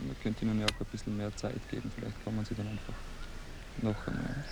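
A man's voice: lowish voice, little pitch movement, fast, gravelly, crowd noise. A man playing a cautious, hesitant researcher in a naturalistic radio play, repeating himself as he reasons aloud. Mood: cautious, tentative. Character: cautious, hesitant male researcher, mildly insistent. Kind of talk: naturalistic radio-play dialogue, like a field recording.

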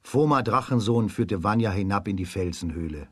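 A man narrating a scripted fairy tale for a children's radio play in a measured storytelling manner. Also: lowish voice, animated, a bit bright, slightly rough, dry recording, clean studio sound.

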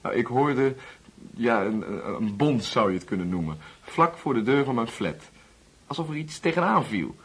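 A man playing a polite, lonely young orphan guest in a Dutch radio play, speaking haltingly and apologetically. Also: medium pitch, breathy edge. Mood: earnest recounting. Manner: halting, apologetic, with self-corrections.

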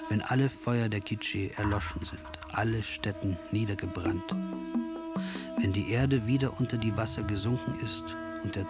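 An actor with a standard German accent playing a solemn ancient scribe who recites a creation myth in an incantatory radio drama style. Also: male voice, on the low side. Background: music.

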